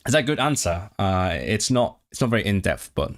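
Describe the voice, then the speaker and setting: A man's voice: lowish voice, big pitch swings, a little fast. Hesitant young man chatting on a stream. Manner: hesitant, many restarts and fillers, thinking aloud. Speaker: streamer and language tutor. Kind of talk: casual live-stream chat answering viewers.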